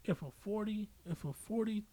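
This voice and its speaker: Higher-pitched man, emphatic podcast talker. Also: normal speed, warm tone, poor audio. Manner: emphatic, slangy storytelling with repetition. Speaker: podcast host. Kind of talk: casual opinionated podcast chat.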